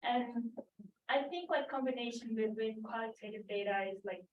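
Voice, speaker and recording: A woman's voice: on the high side, normal speed. A woman from Indonesia with an Indonesian accent, asking a spontaneous question from the audience at a conference. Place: conference room, away from the mic. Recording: roomy, distant, dull, faint noise.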